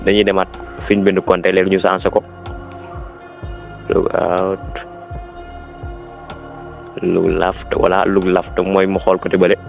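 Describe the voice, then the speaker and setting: A man's voice: low voice, highs cut off. A man with a Senegalese accent gives an informal step-by-step app tutorial, repeating instructions and mixing in French words. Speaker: tutorial presenter.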